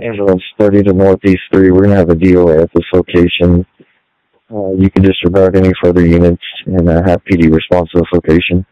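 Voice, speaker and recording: A man's voice: low voice, flat delivery, fast, no echo. A man on an ambulance crew, reporting in over a two-way radio. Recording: dull lo-fi two-way radio transmission.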